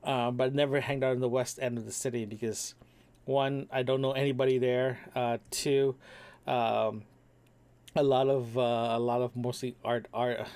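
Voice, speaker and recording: A man's voice: mid-range voice, flat delivery, moderate pace, darkish tone. Rambling man talking into a microphone. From Toronto, Canada. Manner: rambling, many ums and restarts. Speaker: podcast host. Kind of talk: casual audio diary. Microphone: single microphone.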